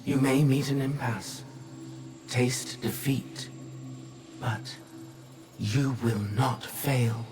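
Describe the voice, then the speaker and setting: An actor playing a calm, cryptic artificial guide in a video game, measured, solemn and resolute. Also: male voice, medium pitch, faint music behind. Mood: encouraging, solemn. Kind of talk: video game cutscene monologue.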